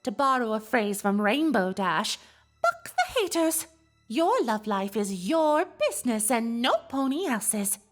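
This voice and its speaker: A woman's voice: a little high, big pitch swings, a little slow, faint music behind. A woman voicing a refined, dramatic, confident unicorn pony socialite in an audio story, with genteel, theatrical delivery. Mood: playful, assured. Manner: theatrical, genteel, advising with affectionate endearments. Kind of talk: scripted character voice in audio story.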